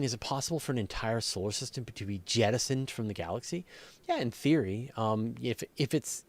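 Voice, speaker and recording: A man's voice: on the low side, no echo. A man hosting a live question-and-answer session, explaining things conversationally and thinking aloud as he answers. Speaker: host. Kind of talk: casual live question-and-answer on space and astronomy.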